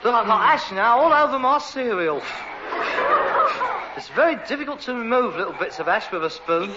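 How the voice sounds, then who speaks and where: An actor playing an exasperated, sarcastic adult son with mock-refined airs and a London Cockney accent in a vintage British sitcom. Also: male voice, high-pitched, sing-song, normal speed. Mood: disgusted, exasperated. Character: exasperated, sarcastic adult son with aspirations, rag and bone man. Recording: dull, noisy vintage broadcast recording.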